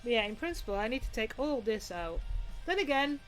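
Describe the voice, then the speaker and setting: A woman's voice: a little high, very animated, brisk, soft background music, poor audio. A woman with a Dutch accent, touched by British English, chatting casually with her stream chat while working on a sewing project, rambling and restarting often. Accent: Dutch, with some British English. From Netherlands.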